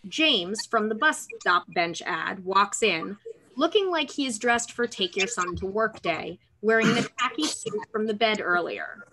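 A woman's voice: mid-range voice, lively intonation, measured pace, pushed and bright, low-quality recording. Woman reading screenplay directions. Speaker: stage directions reader. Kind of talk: scripted screenplay narration. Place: room at home, indoors. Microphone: computer mic on video call.

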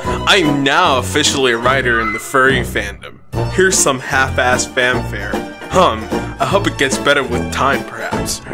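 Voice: medium pitch, unhurried. A giggly person doing a mocking, dramatic comedic reading of text from the internet. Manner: giggly, often breaking up while reading. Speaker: online video maker reading a text aloud. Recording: music behind the voice. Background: music.

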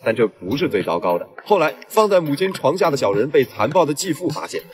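Fast, animated male story narrator. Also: on the high side, noisy background. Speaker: film recap narrator.

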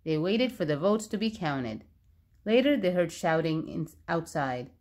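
A woman narrating a children's storybook aloud, expressively and with clear phrasing for young listeners. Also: deep voice, big pitch swings, a little slow, darkish tone.

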